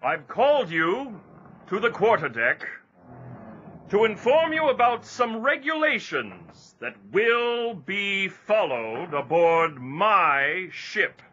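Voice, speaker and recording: A man's voice: high voice, big pitch swings, slow, bright, forceful tone, highs cut off, slight background noise, heavily acted. An actor playing a strict male sea captain laying down rules for his crew, speaking in a stern, commanding way. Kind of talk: audio drama acting.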